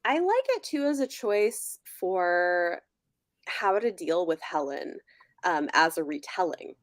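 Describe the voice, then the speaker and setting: A woman with a slightly low voice speaks in a casual, conversational way. She is a podcast panelist who works through her thoughts on books analytically, and the sound is close and dry. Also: animated, takes it slowly, no room sound. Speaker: book discussion podcast panelist. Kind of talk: casual chat.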